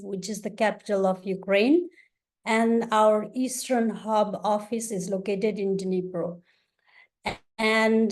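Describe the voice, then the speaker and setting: A woman from Nepal moderating an online panel, presenting in a measured, explanatory way over a video call. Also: mid-range voice, a little mellow.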